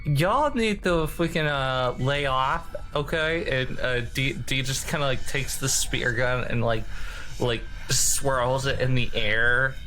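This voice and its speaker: A man's voice: medium pitch, slow pace, pushed and bright, faint music behind. A man playing a tabletop game with casual, jokey, rambling role-play banter in a folksy manner.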